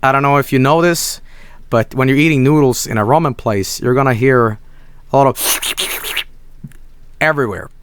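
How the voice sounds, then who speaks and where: Hoarse man, rambling stream chat. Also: medium pitch, normal speed, long pauses, no room sound. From Sweden. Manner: rambling, with many restarts and soft trailing-off asides.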